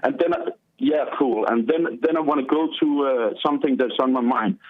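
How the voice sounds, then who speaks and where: A man's voice: mid-range voice, fairly quick. Danish-accented male caller on a tinny phone line. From Denmark. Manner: hesitant, many filler "uh"s. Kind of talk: phone call-in chat.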